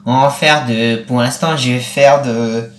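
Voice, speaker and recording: A young man in his late teens speaking casually for a vlog, in a rambling, repetitive and halting way. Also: mid-range voice, steady tone. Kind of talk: casual vlog with acted-out conversations. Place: small attic bedroom, indoors. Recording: roomy, echoey webcam-style recording.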